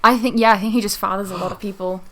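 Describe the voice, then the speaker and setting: A woman's voice: mid-range voice, steady tone, racing, pushed and bright, low-quality recording. A woman co-hosting a podcast in casual banter, playful and emphatic, with some swearing. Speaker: podcast co-host.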